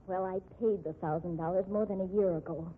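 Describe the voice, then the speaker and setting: An actress playing an earnest, pleading office bookkeeper being blackmailed in a 1950s radio drama. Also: female voice, lowish voice, fairly even intonation, fast talker, a little hiss or hum, lightly acted. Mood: despairing. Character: accused female office bookkeeper, desperate blackmail victim. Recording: tinny band-limited 1950s radio recording.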